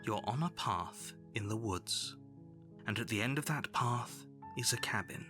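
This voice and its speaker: An actor playing sardonic, theatrical narrator and inner voices in a horror video game. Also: male voice, mid-range voice, lively intonation, a little slow, pushed and bright, soft background music. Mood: solemn. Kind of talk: dramatic video game voice acting.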